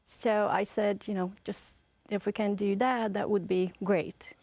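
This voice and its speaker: A woman's voice: medium pitch, fairly even intonation, a little slow, gravelly, darkish tone, dry recording. A woman from Hungary with a Hungarian accent, speaking as a guest in a casual interview, hesitant with many fillers and restarts.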